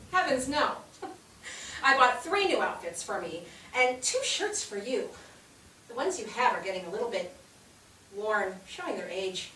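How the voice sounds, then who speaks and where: An actress in a staged reading playing a bubbly, bossy 1860s society wife, gushing and insistent in a theatrical way. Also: female voice, fairly high pitch, sing-song, a little slow, pauses often, a bit bright. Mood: playful, insistent. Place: theatre stage, recorded from a distance. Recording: echoey stage, distant mic, faint noise.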